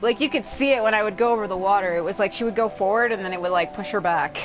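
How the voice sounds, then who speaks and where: A woman's voice: medium pitch, quick pace, over music, low-quality recording. A woman livestreaming a video game with casual, rambling commentary, blunt and complaining, swearing freely.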